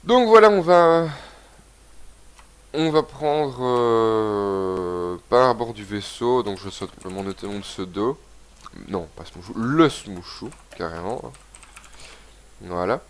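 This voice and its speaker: A man's voice: lowish voice, lively intonation, slow pace, pauses often, smooth. A young man with a cold giving rambling, casual commentary while playing a video game and reading its text aloud. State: has a cold, congested. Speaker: gaming video host. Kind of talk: casual let's-play commentary.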